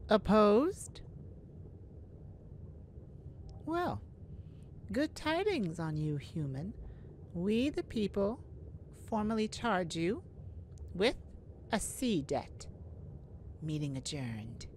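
A woman playing a stately, merciful mermaid leader in a fantasy audio roleplay, speaking in a formal, deliberate, regal manner. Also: medium pitch, big pitch swings, darkish tone, slight background noise. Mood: solemn, commanding.

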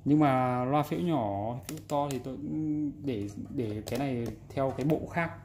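A man's voice: mid-range voice, moderate pace, darkish tone. A man giving a casual, hands-on repair explanation in an informal, demonstrative way. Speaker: repairer presenting a repair video. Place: indoors at a repair workbench. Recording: background noise, room ambience.

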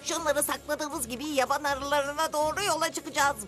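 Woman voicing a very high-pitched cocky bug con artist. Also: fairly even intonation, normal speed, over music, natural voice. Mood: smug, gloating. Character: cocky con-artist insect gang leader, impostor hero. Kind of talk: animated cartoon dub.

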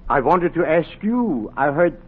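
An actor playing a courteous German professor with a German accent, ceremonious and halting, in a 1940s radio drama. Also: male voice, fairly high pitch, sing-song. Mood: welcoming. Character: formal, courteous German professor of heart surgery. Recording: muffled, hissy 1940s radio recording.

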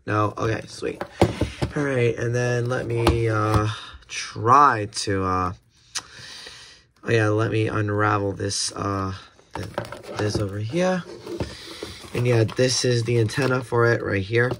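Halting young American man, noisy recording. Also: fairly low pitch, steady tone. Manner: halting, repeats words and restarts often. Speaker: video creator unboxing weather radios. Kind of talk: casual hands-on unboxing chat. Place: indoors at a desk. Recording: clear background hiss and handling clicks.

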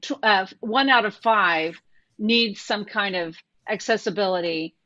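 A woman's voice: medium pitch, unhurried, sharp tone, no echo, lo-fi sound. A woman presenting a talk over a video call, chatty and asking her listeners questions. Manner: conversational, asks the group questions.

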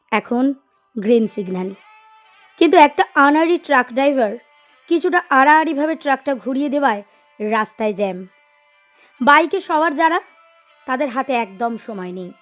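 A woman reading a scripted literary story as narrator, in an expressive storytelling style. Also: high-pitched, normal speed, long pauses. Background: music.

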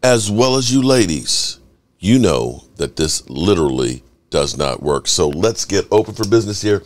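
A man in his thirties hosting a sports podcast from his home studio, slightly hoarse from yelling, talking in a fired-up, profane, drawn-out way into a desk microphone. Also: sits low. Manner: animated, profane, drawn-out delivery. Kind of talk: fired-up fan commentary. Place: home studio room full of memorabilia.

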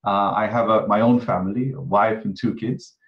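A man in his thirties from Kenya, a guest astrology teacher, talking casually on a video call and pausing often with ums and restarts. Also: fairly low pitch, fairly even intonation. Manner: hesitant, many ums and restarts. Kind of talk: casual interview talk. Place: home room, video call. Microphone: video call webcam. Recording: dull video-call audio.

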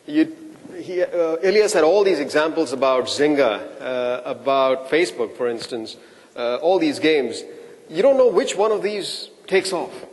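A man hosts a conference in a somewhat high voice. He talks casually, stumbling over his words and repeating himself. His voice carries the long echo of a hall. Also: animated, measured pace. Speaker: event host introducing speakers. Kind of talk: conference emcee talk.

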